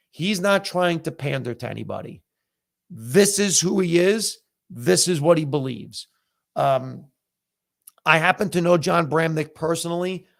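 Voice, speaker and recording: Middle-aged male host, slightly high voice with a gravelly edge, casual and candid, lots of um's. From United States. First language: Italian. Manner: conversational, frequent fillers, candid opinions. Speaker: comedian and podcast host. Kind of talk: casual live-stream chat.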